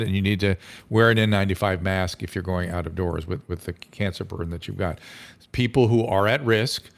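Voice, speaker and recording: A middle-aged man, a physician hosting a live call-in show, talking earnestly and emphatically with frequent restarts. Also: fairly low pitch. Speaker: physician talk show host.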